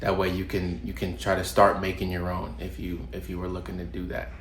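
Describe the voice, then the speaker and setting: A low-voiced young man with a drawl talks straight to camera. His nose sounds clogged from a recent cold, and he keeps it loose, with lots of ums and false starts. The room is echoey and has a faint hum behind him. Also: a little fast. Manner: relaxed, loose with fillers and restarts. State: congested from a cold. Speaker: fitness vlogger. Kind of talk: casual talking-to-camera advice. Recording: roomy home recording, faint ambience.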